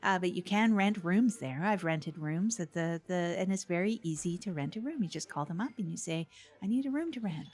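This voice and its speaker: A woman playing an earnest, chatty small-town public access radio host who rambles and repeats herself in a halting way. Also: on the low side, lively intonation, a little mellow. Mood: amused. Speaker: co-host of community radio show. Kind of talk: improvised comic audio drama, casual radio chat.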